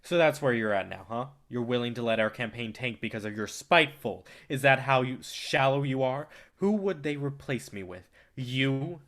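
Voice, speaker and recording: An actor in a staged reading playing a domineering, cynical film distributor with a condescending, lecturing manner. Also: male voice, medium pitch, animated, fairly bright tone. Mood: bitter, defensive. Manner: condescending, rapid-fire lecturing.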